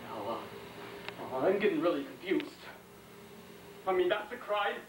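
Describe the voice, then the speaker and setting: A man playing a shaken young American World War II soldier in a dramatic stage monologue, speaking in plain, halting, intense colloquial speech. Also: fairly high pitch, expressive. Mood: confused, uneasy. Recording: echoey room, distant mic, faint noise.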